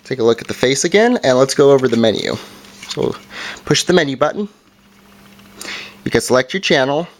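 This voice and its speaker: A man giving a casual hands-on product review, talking in a halting way and correcting himself as he goes. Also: mid-range voice, lively intonation.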